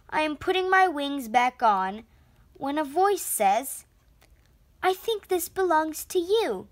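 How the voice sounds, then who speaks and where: A woman reading a children's story aloud with expressive, deliberate phrasing. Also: high voice. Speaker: storybook reader. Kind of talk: children's read-aloud narration.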